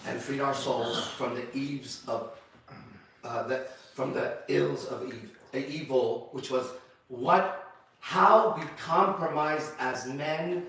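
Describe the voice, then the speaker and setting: A man hosting a men's group discussion who preaches in a forceful, confrontational way and repeats himself. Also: mid-range voice, a little hiss or hum. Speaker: host and leader of a men's group. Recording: very echoey room, distant mic.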